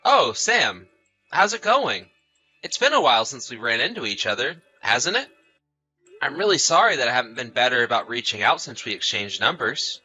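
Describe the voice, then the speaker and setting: A man's voice: medium pitch, very animated, normal speed, pushed and bright, soft background music, exaggerated voice, poor audio. A man playing an anxious, trusting husband in a scripted audio story, apologetic and full of questions. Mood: apologetic, friendly. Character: anxious, trusting husband often away on business.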